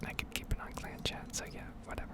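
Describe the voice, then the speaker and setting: Woman in a breathy whisper. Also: fast. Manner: casual, rambling, hesitant. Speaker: ASMR video creator. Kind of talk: whispered ASMR rambling over gameplay. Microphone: close microphone.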